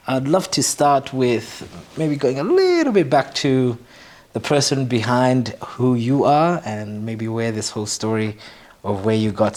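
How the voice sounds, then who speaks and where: A male talk show host, asking questions in an unhurried, gentle way. He uses plenty of "uh"s and quiet "mm-hmm"s while his guest talks. His voice sits at an ordinary middle pitch for a man. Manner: measured, with frequent fillers and soft acknowledgements. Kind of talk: interview, conversational.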